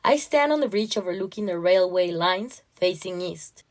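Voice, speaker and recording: A woman reading a first-person literary short story aloud, with expressive, emotionally intense delivery. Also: fairly low pitch, moderate pace, faint music behind.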